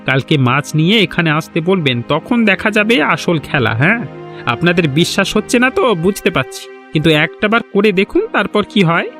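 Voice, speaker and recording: A man's voice: high-pitched, big pitch swings, normal speed, fairly bright tone, soft background music, full character voice. A man playing a bold, cheeky stranger visiting a village in a cartoon folk tale, with a confident manner. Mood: friendly, coaxing. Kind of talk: Bengali animated folk-tale dialogue.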